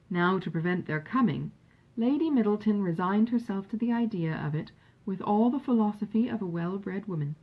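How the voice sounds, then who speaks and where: A woman reading a classic novel aloud as an audiobook narrator, in an expressive, measured storytelling manner. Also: medium pitch, breathy edge, highs cut off.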